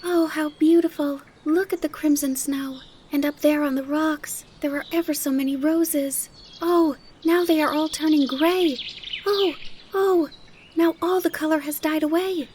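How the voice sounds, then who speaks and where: A woman voicing an excitable, curious little girl in a storybook, full of exclamations and eager questions. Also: high voice, measured pace, a little hiss or hum, pitched above the performer's own voice. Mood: excited, amazed.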